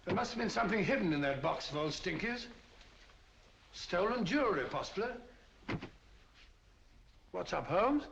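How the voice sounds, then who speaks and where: A high-pitched, gravelly British gentleman bumbles along as a loyal detective's sidekick. He is kindly and fussy, stammering through his words. It sounds like a dull vintage film soundtrack. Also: expressive, normal speed, pauses often, slight background noise, exaggerated voice. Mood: puzzled. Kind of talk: 1940s mystery film acting.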